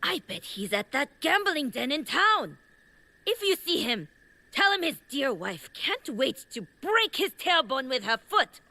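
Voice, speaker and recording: A woman's voice: high-pitched, sing-song, measured pace, sharp tone, no room sound, exaggerated voice. A woman playing an exasperated, scornful wife who scolds about her gambling husband in a video game cutscene. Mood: threatening, scolding. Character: angry, exasperated wife of a gambling watch commander.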